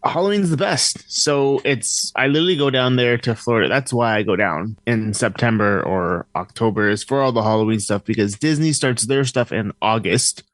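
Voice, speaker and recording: A man's voice: medium pitch, normal speed, few pauses, no room sound. A man co-hosting a casual podcast, laid-back and blunt, with casual swearing. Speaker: podcast co-host.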